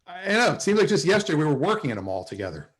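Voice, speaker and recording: A man acting a flustered, smitten office salesman in a sitcom table read, with a halting, excitable delivery. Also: a little high. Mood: awkward banter. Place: video call at a table read.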